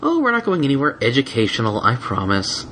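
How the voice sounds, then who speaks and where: A man's voice: medium pitch, wildly swooping pitch, fairly quick, a bit bright, voice pushed up. A fond, teasing young pony lady , voiced by a male narrator, speaks in a lifted, light voice. She coaxes and reassures playfully, as in a storybook, over faint noise. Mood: fond coaxing. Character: teasing, motherly young female unicorn pony.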